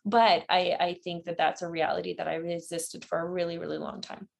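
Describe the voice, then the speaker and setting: A woman's voice: fairly low pitch, little pitch movement, a little fast, creaky. A woman speaking as a podcast guest, talking in a reflective way and laughing easily. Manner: warm, reflective, laughs often. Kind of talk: casual interview chat.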